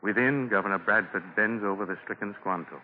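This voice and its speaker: A man's voice: on the low side, a little fast. A man narrating a 1940s radio drama from a script, formal and solemn. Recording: noisy, tinny 1940 radio broadcast.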